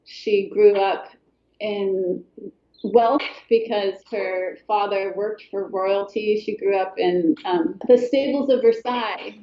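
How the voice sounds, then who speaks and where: A middle-aged woman from Colorado, speaking as an author guest in a thoughtful, hesitant way, with many pauses and restarts. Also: mid-range voice, echoey room, lo-fi sound. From Colorado, USA. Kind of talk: reflective interview talk.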